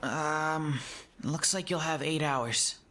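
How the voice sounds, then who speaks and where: A man's voice: fairly high pitch, slow, sharp tone, voice pushed up. A man voicing a hesitant, helpful computer operator sidekick in an audiobook, talking in a tentative, casual way. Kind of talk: audiobook character dialogue.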